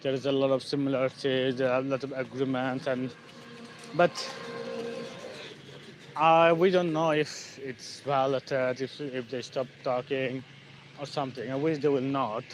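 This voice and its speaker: A man with a Bangladeshi English accent talking casually on a live stream. He speaks haltingly, with many fillers and restarts, while walking outdoors in the evening. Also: medium pitch, flat delivery, slight background noise, low-quality recording. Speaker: channel host, English learner.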